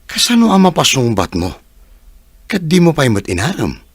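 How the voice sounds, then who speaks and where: A man's voice: fairly high pitch, wildly swooping pitch, normal speed, breathy edge, dry recording, studio quality, exaggerated voice. A man with an Ilocano accent playing a practical, friendly contractor in a radio drama. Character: practical working contractor, friendly village buddy.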